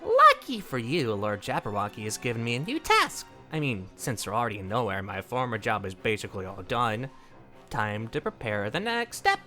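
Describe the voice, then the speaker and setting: A woman voicing a giddy, clingy, teasing male jester in an audio drama, squeaky, sing-song and theatrical. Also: wildly swooping pitch, normal speed, sharp tone, faint music behind, heavily acted. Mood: scheming glee. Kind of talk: animated audio drama character acting.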